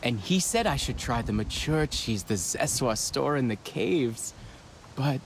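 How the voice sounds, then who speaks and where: An actor playing an eager, nervous young scavenger in a game, chatty, hesitant and self-correcting. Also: male voice, mid-range voice, animated, unhurried, a little hiss or hum. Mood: sheepish. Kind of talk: naturalistic game dialogue.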